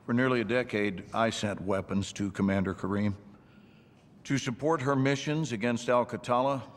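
An actor playing a stern general giving measured, deliberate testimony in a video game cutscene. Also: male voice, medium pitch, natural voice. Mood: formal, assured. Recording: long echo of a large hearing hall.

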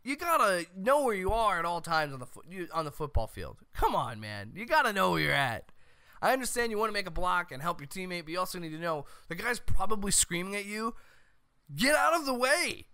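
An American man hosts a sports show with loud, emphatic opinions. His voice is crisp and fairly high, and it sits close and dry on a studio mic. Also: big pitch swings, brisk, no room sound. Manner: loud, emphatic, exasperated ranting. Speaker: host of a college football show. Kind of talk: opinionated sports commentary to camera. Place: small room at home. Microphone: close studio mic on a boom arm.

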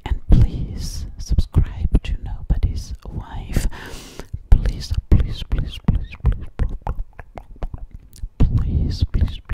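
A woman playing a sweet, slightly sassy alien girl in an ASMR roleplay, whispering breathily close to the microphone and repeating her words soothingly. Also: close to an everyday voice. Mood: sweet, pleading. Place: dim living room indoors. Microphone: close-up at a large ASMR microphone.

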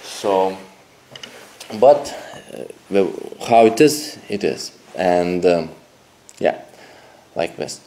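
A young man, speaking in a very low voice. He pitches his coaching to a camera in an indoor room, halting, repeating phrases and using wording. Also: animated, dark tone, rough recording. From Lithuania. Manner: halting, repetitive, non-native phrasing. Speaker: dating coach. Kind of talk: direct-to-camera sales pitch. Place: indoor room with a TV screen behind.

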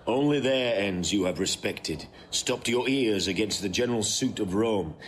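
A man's voice: fairly low pitch, normal speed, natural voice. A man playing a formal ancient general. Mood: formal, approving. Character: formal Volscian general, approving ally. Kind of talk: classical Shakespearean audio drama acting. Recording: faint background noise.

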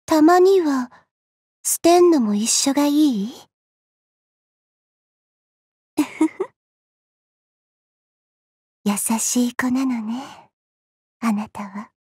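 Game goddess, small and youthful: high, breathy, unhurried, coy, elegant, haughty tease. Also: female voice, dry recording, clean studio sound, exaggerated voice. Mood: mildly scolding, fond. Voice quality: soft, breathy. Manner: elegant, coy, slow, refined ladylike speech. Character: petite, teasing, haughty young-looking immortal goddess. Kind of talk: Japanese mobile game character voice lines.

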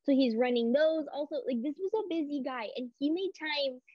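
A woman's voice: sits high, a little fast, dry recording. Young woman with a cold on a lo-fi call. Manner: expressive, laughs easily. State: under the weather, voice thickened. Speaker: podcast guest. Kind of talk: casual interview chat. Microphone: video call. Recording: dull lo-fi call audio.

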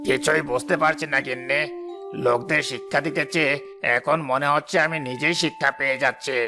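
A man's voice: medium pitch, steady tone, a little fast, close to an everyday voice. A man playing a proud village headman in a moral cartoon. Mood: dismayed. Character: proud, vengeful village headman, later humbled and remorseful. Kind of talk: Bengali moral cartoon dialogue. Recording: music behind the voice.

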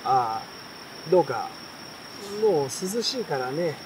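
A man voicing a gentle, caring mother from a storybook, tender and reassuring. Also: a little high, very animated, slow pace. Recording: clear insect and outdoor night noise.

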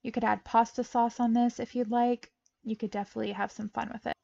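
A woman's voice: fairly high pitch, little pitch movement, brisk, creaky, mellow, no room sound, muffled recording. A young woman narrating her home meal prep in a bubbly, chatty way, often laughing between steps. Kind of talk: casual voice-over cooking narration. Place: home kitchen.

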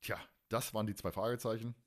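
A man's voice: on the low side, steady tone, fast talker. A man hosting a casual fan podcast, colloquial and teasing, with plenty of opinions. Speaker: podcast host.

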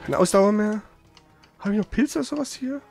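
A man's voice: high voice, brisk, vocal fry, darkish tone, faint music behind. A man speaking colloquial, slangy speech, casually chatting and commenting live as he plays a game, swearing freely. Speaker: gaming streamer playing and chatting.

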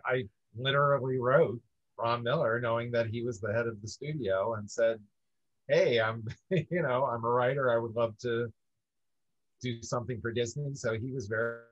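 A man's voice: medium pitch, no echo. Earnest man on dull, muffled audio. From upstate New York. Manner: earnest, measured, breaks into light laughs. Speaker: interview guest, historian. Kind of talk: casual video-call interview.

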